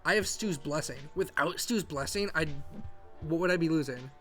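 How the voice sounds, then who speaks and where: A young man playing a game and rambling in stammering, excitable commentary. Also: fairly high pitch, moderate pace, faint music behind. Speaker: gamer.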